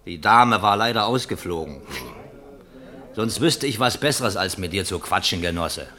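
A man's voice: on the low side, expressive, normal speed, pushed and bright. Swaggering, taunting man with a swooping voice. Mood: boastful. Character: cocky, tipsy male barroom provocateur, sneering and jovial. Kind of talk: German radio drama acting.

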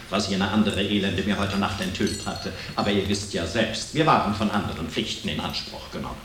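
Echoing, stern inquisitor. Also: male voice, low voice, a bit bright. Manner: measured, ceremonious, pointed. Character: stern, calculating male inquisitor, coldly formal and self-righteous. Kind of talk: radio drama acting. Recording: very echoey hall, distant, faint noise.

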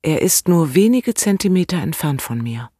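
A woman's voice: deep voice, big pitch swings, normal speed, dark tone, slightly rough, dry recording, clean studio sound, exaggerated voice. An actress playing a restless, questioning woman in a poetic radio play, speaking in short clipped phrases. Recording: music under the voice. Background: music.

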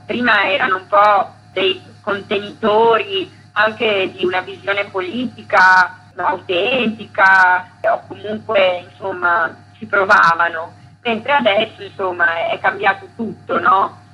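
A woman's voice: medium pitch, fairly even intonation, takes it slowly, husky, muffled recording. A woman, an actress and author, answering conversationally as a radio guest in an informal, explanatory way.

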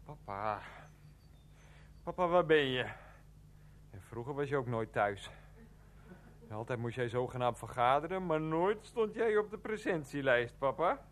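A man's voice: medium pitch, animated, unhurried, long pauses, close to an everyday voice. An actor playing a rambling, defiant, grumbling man who likes a drink, muttering to himself in a radio play. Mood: plaintive, searching. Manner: muttering to himself, talks of himself in third person. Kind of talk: Dutch radio play acting.

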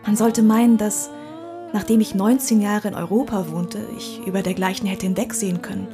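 An actress voices a dignified princess reflecting in old-fashioned memoir prose for a radio play. Her delivery is measured and medium in pitch. Plucked string music plays behind her. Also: fairly even intonation, close to an everyday voice. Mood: reflective. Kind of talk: literary memoir reading in radio drama.

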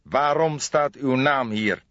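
Guy doing old cop detective, polite but pushy, very deliberate, audiobook, bit muffled. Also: mid-range voice, a bit bright, dry recording, pitched above the performer's own voice. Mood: restrained impatience. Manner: deliberate, formal, spelling things out carefully. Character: old male police detective, courteous, insistent, restrained impatience.

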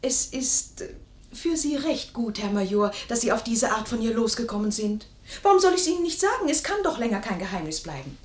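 A woman's voice: a little high, very animated, a little fast, echoey room. An actress playing a saucy, evasive young lady's maid in a classical radio play, coy and theatrical. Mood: confiding, dramatic. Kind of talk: classical German stage-play radio acting.

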